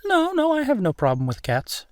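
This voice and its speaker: A man playing an easygoing, affectionate werewolf boyfriend in an intimate audio roleplay, speaking earnestly and haltingly. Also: extremely high pitch, huge pitch swings, darkish tone, no room sound, exaggerated voice. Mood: relaxed, wry. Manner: hesitant, chuckling, earnest, halting phrasing. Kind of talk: intimate boyfriend roleplay, naturalistic one-sided conversation.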